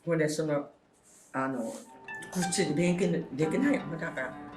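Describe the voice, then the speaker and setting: A woman's voice: low voice, fast, music in the background. An elderly woman, recalling her past in an interview as a survivor. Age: 70s or older. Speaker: atomic bomb survivor giving testimony. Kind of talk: interview recollection. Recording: echoey room, distant mic.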